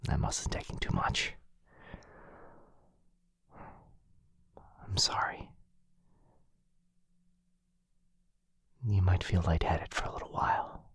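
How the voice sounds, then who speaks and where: A man playing a tender, protective vampire lover in an intimate boyfriend ASMR roleplay, hushed, breathy and soothing, close to the mic. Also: sits low, a little fast, no echo, heavily acted. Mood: tender concern. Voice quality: breathy, husky whisper. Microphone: close, dry microphone.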